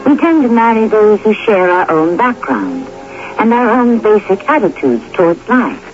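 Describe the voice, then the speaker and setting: A man hosting a radio drama, narrating in a deliberate, philosophical, rhetorical scripted style. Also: high-pitched, big pitch swings, soft background music. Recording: dull old radio recording.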